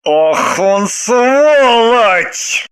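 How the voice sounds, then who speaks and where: A woman's voice: fairly high pitch, lively intonation, drawn-out words, pitched above the performer's own voice. A woman doing a blustering, angry husband. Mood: furious. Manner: blustering, growling threats. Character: angry middle-aged husband, businessman.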